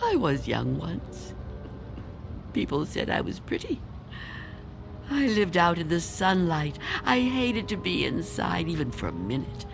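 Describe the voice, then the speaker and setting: A woman's voice: on the low side, big pitch swings, unhurried, gravelly, over music, full character voice. Frightened old woman, wildly swooping voice. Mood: wistful. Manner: tremulous, pleading, dramatic. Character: frightened old reclusive woman, fearful of death. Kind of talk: old-time radio drama acting.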